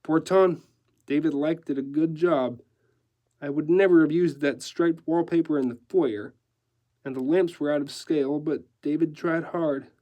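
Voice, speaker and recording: A man's voice: a little high, a little slow, mellow, lightly acted. A man voicing a sly, gleeful, theatrical male decorator in a story, gushing and affectedly dropping French phrases. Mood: airy, sly.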